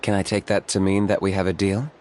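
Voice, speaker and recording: A man playing a composed, pragmatic young hunter for hire in a video game, speaking in a measured, matter-of-fact way. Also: sits low, flat delivery, darkish tone, slight background noise, natural voice. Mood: calm, businesslike.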